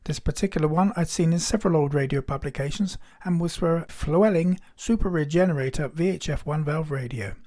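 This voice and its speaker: A man narrates a technical hobby demonstration from a script. His voice sits a little higher than the average man's, and he speaks in the manner of an explainer. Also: brisk, few pauses, mellow.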